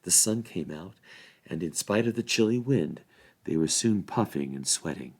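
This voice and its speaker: A man reading a novel aloud as expressive bedtime storybook narration. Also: low-pitched, moderate pace. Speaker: volunteer story reader.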